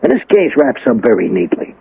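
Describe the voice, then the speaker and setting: An actor playing a shrewd police lieutenant in an old-time radio drama, casually probing with sly, deliberate hesitations. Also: male voice, medium pitch, big pitch swings, creaky, slightly rough, heavily acted. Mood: sly, musing. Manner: hesitant, folksy, slyly deliberate. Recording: muffled vintage radio broadcast recording.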